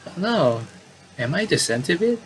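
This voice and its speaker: A man's voice: high voice, sing-song, moderate pace, pitched above the performer's own voice. A man voicing an anxious, panicky young woman from a horror visual novel. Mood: panicked. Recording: clearly noisy home recording.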